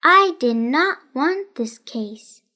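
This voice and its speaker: A woman narrating a children's storybook in a deliberate, carefully enunciated way. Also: high voice, animated, sharp tone. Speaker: children's audiobook reader.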